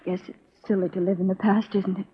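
An actress as a young Southern woman spy from a 1940s radio play. She is poised, wistful and guarded, with a mid-pitched voice. The sound is dull and lo-fi, like an old broadcast. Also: little pitch movement, fast talker, slightly rough, close to an everyday voice.

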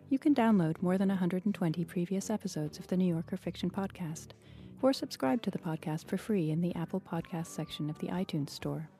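Mellow, low-voiced woman hosting a podcast. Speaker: podcast host, interviewer. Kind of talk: literary interview and podcast narration.

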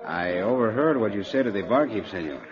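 An actor doing a sly scheming agent, his voice low and smooth. He talks in a slow, insinuating way, and the sound is dull lo-fi old radio. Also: animated, a crowd in the background, close to an everyday voice. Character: sly scheming Mexican male agent Carlos. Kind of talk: 1940s radio drama acting.

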